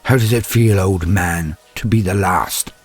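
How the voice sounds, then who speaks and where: A man voicing an arrogant, possessed assassin in an audio story, husky, sneering and deliberate in his menace. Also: low voice, faint music behind. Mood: gloating.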